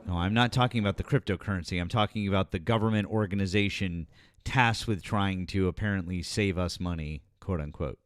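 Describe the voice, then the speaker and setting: A man's voice: low voice, fairly quick, no room sound. A man in his forties hosting a live political stream, pressing a caller with pointed, repeated questions. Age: 40s. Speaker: host.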